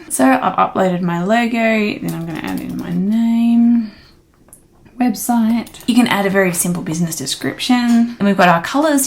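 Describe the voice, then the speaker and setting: A woman talking through a design software tutorial in a casual, friendly, step-by-step way. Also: mid-range voice.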